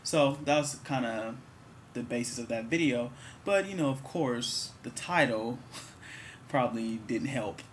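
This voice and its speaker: A man's voice: medium pitch, unhurried, slight background noise. A man in his twenties, answering viewer questions in a candid, rambling, joking way. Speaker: vlogger. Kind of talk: casual talk to camera.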